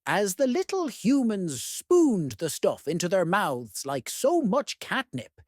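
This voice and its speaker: An expressive storyteller reads a picture book for young listeners. The delivery swoops up and down at a measured, slow pace. The sound is close and dry. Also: medium pitch, no echo. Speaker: read-aloud host. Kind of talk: expressive children's storybook read-aloud.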